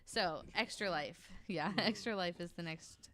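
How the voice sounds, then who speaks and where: A woman's voice: lowish voice, measured pace, fairly bright tone. A woman chatting casually and bluntly on a discussion panel, sometimes reading information aloud. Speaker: podcast panelist. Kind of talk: casual panel chat, reading out web pages.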